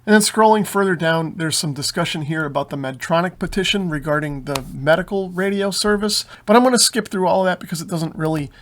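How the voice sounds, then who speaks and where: Guy with a slightly higher voice casually explaining stuff as he reads thru a document. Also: fairly quick, few pauses, slight background noise. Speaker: host of a radio hobby channel. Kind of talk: explanatory commentary while reading through a document.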